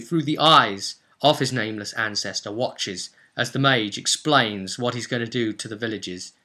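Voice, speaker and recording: A man's voice: medium pitch, pushed and bright. A man narrating a tabletop fantasy game as game master, dramatic and intense, repeating phrases for emphasis.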